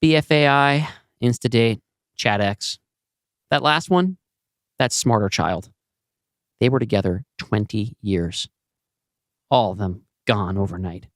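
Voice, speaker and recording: A man's voice: lowish voice, unhurried, pauses often, no echo, clean studio sound, lightly acted. A man acting in an audio play as a bitter, grieving leader of an armed band of widows.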